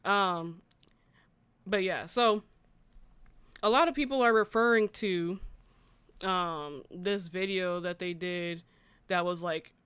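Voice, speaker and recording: A woman's voice: on the low side, takes it slowly, long pauses, a bit bright, smooth, dry recording. A woman hosting a gaming livestream, chatting informally with viewers and reading news aloud in a conversational way. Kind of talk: casual livestream chat, reading articles aloud and reacting.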